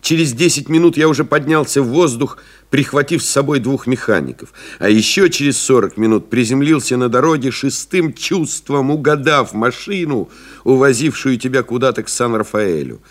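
An actor playing a pilot who recalls a lost comrade, reading solemnly, expressively and in measured literary phrases. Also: male voice, medium pitch, close to an everyday voice. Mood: joyful, moved. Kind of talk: dramatic literary reading in an audio play. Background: music behind the voice.

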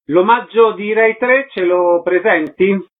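A man's voice: high voice, slow pace. A man hosting a radio interview, asking short guiding questions. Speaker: radio host. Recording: lo-fi radio broadcast.

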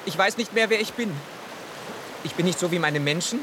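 An actor playing a naive, despairing pet tomcat in a radio drama, plaintive and earnestly questioning. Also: male voice, high-pitched, brisk, lightly acted. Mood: despairing, lost. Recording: constant rain-like noise behind the voice.

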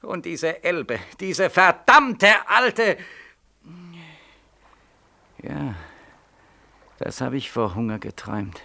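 An actor in a 1940s German radio play voicing a bitter, despairing young soldier home from war, sarcastic and emphatic. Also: male voice, fairly high pitch, big pitch swings, very slow, vocal fry, pauses often, bright, forceful tone, full character voice. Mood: anguished despair. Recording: vintage 1940s radio studio recording.

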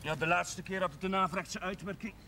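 High-voiced genial village priest. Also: male voice, fairly even intonation, a little fast, pushed and bright. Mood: concerned. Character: genial, proud, slightly naive village priest with a garden. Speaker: actor in a television drama. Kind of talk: naturalistic television drama acting. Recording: faintly noisy 1970s television soundtrack.

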